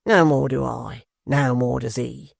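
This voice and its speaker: A man reading a storybook aloud, voicing a blunt, rough-spoken young brawler. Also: mid-range voice, steady tone, measured pace, no echo, clean studio sound.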